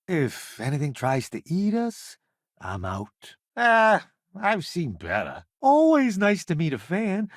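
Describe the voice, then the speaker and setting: A man's voice: high-pitched, dry recording, clean studio sound, full character voice. A man voicing a vain, wisecracking, cowardly food-columnist sidekick in a space video game, with a breezy, theatrical, boastful delivery. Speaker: companion character in a space game. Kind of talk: animated video game voice acting.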